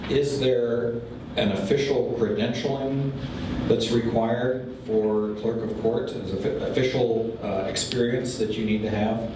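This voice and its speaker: A man's voice: medium pitch, fairly even intonation, a little slow. Earnest man questioning from the floor. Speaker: audience member asking a question. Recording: echoey hall, far off, noisy.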